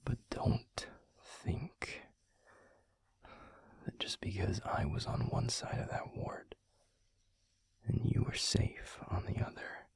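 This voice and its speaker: A tender, protective male werewolf boyfriend, acted by a man, speaks low and very husky, close to a whisper. He is stubbornly caring, halting and intimate, as in a romantic roleplay audio, with a heavy gravelly rasp to his words. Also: heavily acted. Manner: hushed, halting, intimate pleading.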